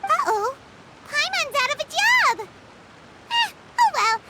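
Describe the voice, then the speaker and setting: A woman voicing a tiny, chirpy, carefree fairy-like companion in a video game, with a squeaky, childish manner. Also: sing-song, takes it slowly, a little hiss or hum, heavily acted. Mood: breezy, cheerful. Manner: squeaky, childish, refers to self in third person. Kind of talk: video game character acting. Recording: loud music behind the voice.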